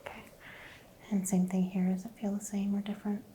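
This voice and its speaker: A woman whispering gently as a doctor in an ASMR roleplay, guiding a patient through a skin exam. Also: mid-range voice, flat delivery, a little fast, slight background noise, low-quality recording. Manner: soft whispering, gentle step-by-step instructions. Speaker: ASMR creator playing a doctor.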